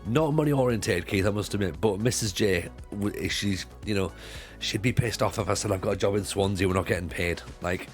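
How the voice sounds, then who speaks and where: Low-voiced british guy, bit croaky, chatty streamer talking to his chat, soft music in the back. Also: brisk, hardly any pauses. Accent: British English. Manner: chatty, rambling, repeats words, chuckles. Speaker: streamer playing a football management game. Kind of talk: casual live-stream chat with viewers.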